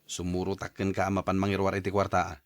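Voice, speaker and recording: A man's voice: low-pitched, monotone, fast, lightly acted. A man playing an easygoing, pleasure-seeking husband who talks casually and coaxingly in a radio drama.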